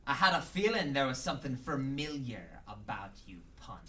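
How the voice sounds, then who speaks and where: A man's voice: medium pitch, very animated, normal speed, pushed and bright. A man playing a sly, mercenary pirate villain in a radio drama. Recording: distant mic, noisy ambience.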